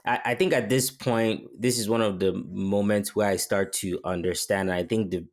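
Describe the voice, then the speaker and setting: A man's voice: fairly low pitch, fairly even intonation, moderate pace. A man who works as a voice actor, talking casually and enthusiastically as an interview guest.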